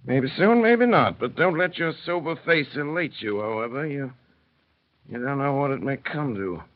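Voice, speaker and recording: Male actor, self-loathing drunken gentleman, sardonic slurred drawl, very lively pitch, old radio drama, muffled sound. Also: normal speed, natural voice. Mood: bitter. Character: dissolute, bitter, hard-drinking English gentleman, a self-loathing drudge. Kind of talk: 1930s radio drama acting.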